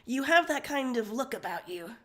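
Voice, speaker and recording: A short, chubby, irritable man acted out by a woman in a fan story reading. The voice sits rather high. He is brusque and foul-mouthed, ready to get loud. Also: big pitch swings, moderate pace. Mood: brusque, apologetic. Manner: brusque, loud when angered. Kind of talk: fan fiction read aloud with roles.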